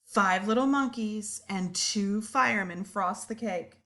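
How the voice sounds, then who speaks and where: A woman storyteller reading a picture book aloud to young children, expressive and deliberate. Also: medium pitch.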